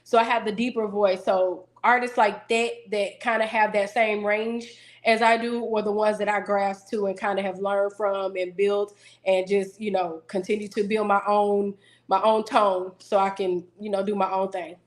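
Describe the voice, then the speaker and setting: Woman guest, deeper-voiced, steady and businesslike in casual interview talk. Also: moderate pace. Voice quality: deeper singing voice. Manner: self-assured, businesslike, steady. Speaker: singer-songwriter guest.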